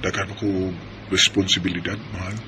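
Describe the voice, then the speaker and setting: A man's voice: sits low, level tone, fairly quick, close to an everyday voice. A man acting a devoted but evasive young boyfriend in a Filipino radio drama. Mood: hesitant. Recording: noisy amateur recording.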